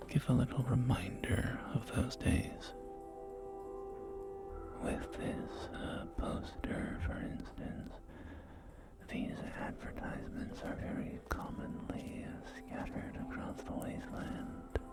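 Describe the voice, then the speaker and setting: A man whispering an ASMR roleplay as a polite, helpful real estate agent, in gentle, unhurried sales patter, with music behind him. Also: mid-range voice, vocal fry. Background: loud music and game audio.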